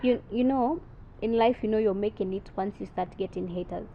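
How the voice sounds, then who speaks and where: A woman's voice: mid-range voice, fairly quick. Confident young woman. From South Sudan. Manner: confident, chatty, code-switching. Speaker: podcast guest, content creator. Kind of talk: casual interview chat, mixing English and Swahili. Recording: muffled podcast audio with faint background noise.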